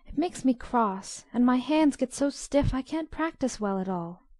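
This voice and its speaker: A woman voicing a shy, gentle young girl in an audiobook story, speaking in a soft, earnest, soothing manner. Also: sits high, expressive, unhurried, no echo, pitched above the performer's own voice. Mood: mildly fretful. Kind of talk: audiobook character acting.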